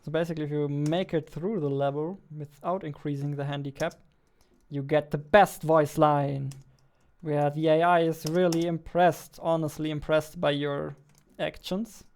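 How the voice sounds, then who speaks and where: Young man with a creaky, even voice. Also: on the high side. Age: 20s. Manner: halting while typing, reads text aloud slowly. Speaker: indie game developer. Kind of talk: casual narrated coding livestream or devlog. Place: indoors, at a computer desk. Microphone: studio mic on boom arm, close.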